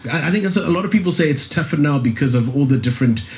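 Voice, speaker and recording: A man's voice: on the high side, lively intonation, rapid-fire, darkish tone. Friendly male radio host. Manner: friendly, leading questions, hums along. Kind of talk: casual radio interview. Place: radio studio.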